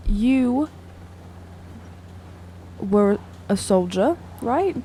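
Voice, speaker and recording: A woman's voice: medium pitch, expressive, very slow, mellow, a little hiss or hum. A woman playing a tabletop game, speaking casually and a little hesitantly as she improvises. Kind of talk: casual improvised in-character chat.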